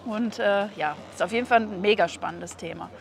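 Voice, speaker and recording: A woman's voice: medium pitch, brisk, creaky, a little hiss or hum. A woman in her thirties chatting casually in an interview, speaking outdoors into a clip-on mic. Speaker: interviewer, hobby aquarium video creator. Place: outdoors beside an expo building. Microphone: clip-on wireless mic on the chest.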